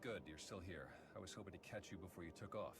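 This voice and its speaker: A stubborn, plain-spoken man of action from a video game. His voice sits very deep and sounds loyal and defiant, with music clearly underneath. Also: fast talker. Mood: determined. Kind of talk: video game cutscene acting. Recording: music behind the voice.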